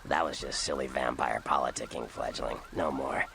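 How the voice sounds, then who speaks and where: An old vampire fixer in a video game, his voice rock-bottom deep and full of gravel. He explains things with dry, slangy sarcasm, like someone who has seen it all. Faint noise sits behind the voice. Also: steady tone, normal speed, sharp tone, full character voice. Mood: wry, knowing. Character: worldly, sardonic old vampire informant, man. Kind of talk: video game character dialogue.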